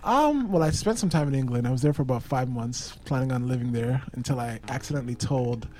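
Soft-spoken fast-talking male musician. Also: medium pitch, lively intonation. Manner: soft-spoken, hesitant, many restarts. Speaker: musician guest. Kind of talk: casual interview.

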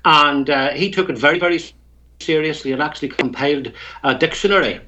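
Northern Irish man, Tyrone accent, wry storyteller guest, bright lively voice, dull remote line. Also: on the high side, fairly quick, slight background noise. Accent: Northern Irish, County Tyrone. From Northern Ireland. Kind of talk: casual interview over a phone line.

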